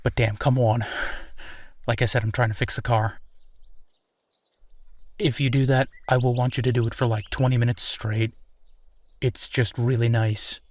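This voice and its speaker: A man playing an easygoing, playful werewolf boyfriend in a roleplay audio, talking casually and haltingly with a self-deprecating streak. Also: mid-range voice, little pitch movement, no echo, close to an everyday voice. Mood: sheepish, amused. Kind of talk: intimate boyfriend roleplay audio, improvised-sounding one-sided conversation.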